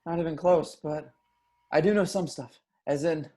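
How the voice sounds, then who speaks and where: A man's voice: on the high side, moderate pace, mellow, slight background noise, lightly acted. Man reading as a scrappy, wry young stoner hero. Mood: wry, weary. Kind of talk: amateur screenplay table read.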